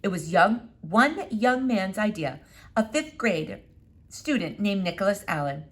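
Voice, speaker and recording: A woman's voice: medium pitch, animated, measured pace. A woman voicing a stern, dismissive female schoolteacher in a children's storybook read-aloud, firm and precise. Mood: brisk, curt.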